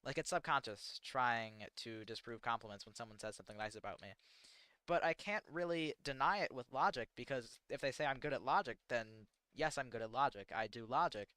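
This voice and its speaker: A man's voice: mid-range voice, a little fast, sharp tone, clear, resonant tone, dry recording. A teenage American man chatting casually on a livestream, rambling and self-deprecating with lots of fillers. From United States. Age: teens. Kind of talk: casual livestream chat answering chat questions.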